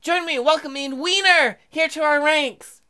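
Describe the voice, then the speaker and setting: High-pitched male take on a perky game shark schoolgirl, courteous class-president type, formal and welcoming. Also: normal speed, bright, forceful tone, full character voice. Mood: bright, polite.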